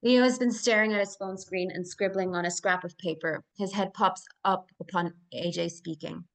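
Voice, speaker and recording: A woman's voice: lowish voice, steady tone, moderate pace, gravelly, low-quality recording. A woman reading scene directions as narrator at a live screenplay table read.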